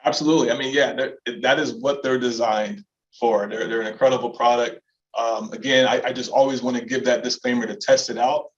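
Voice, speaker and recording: A man's voice: mid-range voice, fairly even intonation, brisk, lo-fi sound. A man giving casual, enthusiastic and emphatic product talk as a company representative on a webinar.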